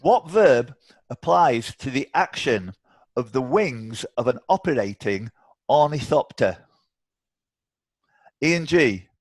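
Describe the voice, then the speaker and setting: A British man hosts a live online quiz in a chatty, bantering way. His voice sits at a medium pitch. The sound is dry, with little room around it. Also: expressive, slow pace, long pauses, no echo. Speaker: quiz host. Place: indoors, on a video call.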